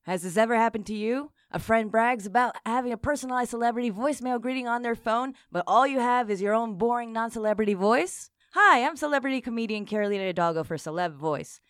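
A woman playing a chipper celebrity spokesperson giving a scripted spoof infomercial pitch. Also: quick pace, hardly any pauses, fairly bright tone, clear, resonant tone, no room sound, clean studio sound, lightly acted. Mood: salesy.

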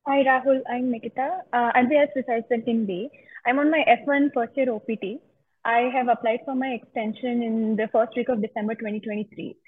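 A woman's voice: fairly high pitch, fairly even intonation, brisk, husky. A young woman calling for advice, carefully and politely explaining her case with some hesitation. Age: young adult. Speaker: caller seeking legal advice. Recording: muffled, phone-like line.

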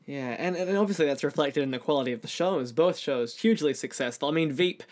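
Slightly high-voiced man interviewing. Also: quick pace. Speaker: podcast host, interviewer. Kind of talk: conversational interview questioning.

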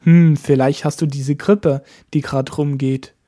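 A man teaching a language lesson, explaining each point slowly step by step. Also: on the high side, fairly even intonation, warm tone. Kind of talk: lesson narration.

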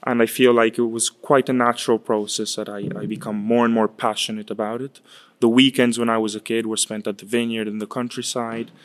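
A man in his twenties, raised in Chile, answering interview questions in a halting way, often repeating words. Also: medium pitch, flat delivery. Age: 20s. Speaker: guest, winemaker's son. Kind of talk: casual interview.

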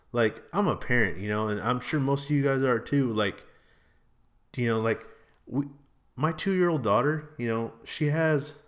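A man in his early thirties hosting a casual, rambling solo podcast in a slangy, informal, exclamatory way. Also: on the low side. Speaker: podcast host and vlogger.

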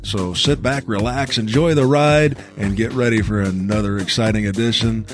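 A man's voice: lowish voice, steady tone, moderate pace, music in the background. A man hosting a casual school podcast, encouraging his co-hosts and prompting them with questions. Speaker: podcast host.

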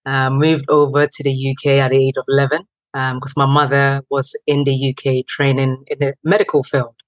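A woman's voice: a bass voice, normal speed, no room sound. Ghana-born woman telling her story. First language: not English. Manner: lively storyteller, many ums, acts out quotes. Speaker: guest. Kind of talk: conversational interview.

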